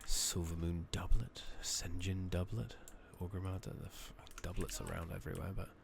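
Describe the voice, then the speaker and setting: A soft-spoken man giving hushed ASMR commentary on a game playthrough, murmuring and musing aloud in an unhurried way. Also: low-pitched, fairly even intonation, gravelly, noisy background. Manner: hushed, murmured, sometimes slipping into whisper. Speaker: gaming channel host.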